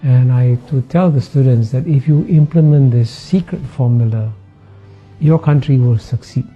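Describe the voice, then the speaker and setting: An older Singaporean man in his seventies speaks like a lecturer, calm and measured, pausing often with a soft "uh" and chuckling now and then. His voice sits at an ordinary middle pitch. The audio is dull and lo-fi, with faint music underneath. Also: breathy edge. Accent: Singaporean English. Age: 70s. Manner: measured, with frequent "uh" pauses and soft laughs. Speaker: former dean of a public policy school. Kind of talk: reflective talk with a lecture tone.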